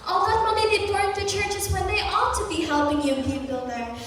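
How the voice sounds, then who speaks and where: Young woman as a high-voiced, hospitable American mother. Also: fairly quick, fairly bright tone. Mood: welcoming, chatty. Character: hospitable, motherly middle-aged American woman, proud and chatty. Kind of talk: amateur stage play acting. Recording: echoey hall from far off.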